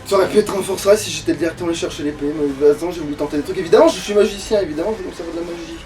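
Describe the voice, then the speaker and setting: A man's voice: on the high side, rapid-fire, a little mellow, distant mic, slight background noise, rough recording. A man in casual gaming chat, grumbling and swearing crudely as he plays. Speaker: gamer playing on a let's play.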